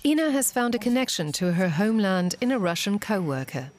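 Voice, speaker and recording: A woman's voice: mid-range voice, very animated, brisk, a little mellow. A woman reading scripted narration as the narrator of a documentary.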